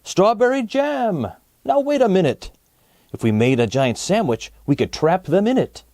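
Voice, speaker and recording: A man voicing an excited, bossy village baker from a storybook, speaking in emphatic exclamations. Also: sits high, normal speed, dry recording, studio quality, pitched above the performer's own voice. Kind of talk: storybook character voice.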